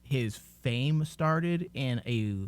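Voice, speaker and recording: A man talks as a podcast host in a casual fan discussion. His pitch sits in the middle, and he stresses points by repeating his phrases. The sound is dry, with little room around it. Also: animated, extremely slow, clean tone, no echo.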